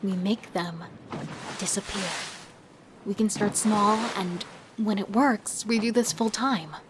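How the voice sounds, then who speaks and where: A woman's voice: medium pitch, slow pace, natural voice. Woman playing a sly female killer, noisy ambience. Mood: persuasive, calculating. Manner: persuasive, scheming, relishing. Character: cold, thrill-hungry female serial killer, confident and seductive. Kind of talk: audio drama acting with first-person narration.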